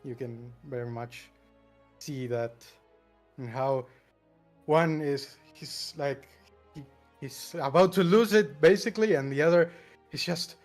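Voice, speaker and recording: A man's voice: on the high side, a little slow, pauses often, soft background music. A man from Argentina with an Argentine accent, chatting casually on a panel, hesitant with many fillers and small laughs. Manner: hesitant, many uhs, laughs between phrases. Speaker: podcast panelist. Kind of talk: casual group chat.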